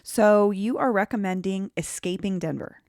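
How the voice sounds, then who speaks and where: A woman's voice: mid-range voice, normal speed. A woman hosting a podcast in a casual, conversational interview style. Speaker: podcast host. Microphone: remote video call recording.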